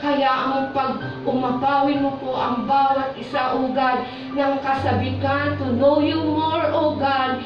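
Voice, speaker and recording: A woman's voice: sits high, flat delivery, a little slow. A Filipino woman leading a fervent, pleading church prayer in short, devout phrases, calling repeatedly on God. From Philippines. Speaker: prayer leader at a church service. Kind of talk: fervent spoken closing prayer with benediction. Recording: echoey church hall, distant muffled mic, music behind. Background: worship music.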